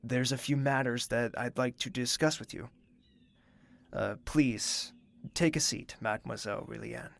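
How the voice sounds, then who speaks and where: An actor playing a young male prince who is thoughtful and reserved, speaking in a measured, formal and courteous way. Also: medium pitch, steady tone, vocal fry, a bit bright. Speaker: narrator and lead character. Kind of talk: audio drama acting.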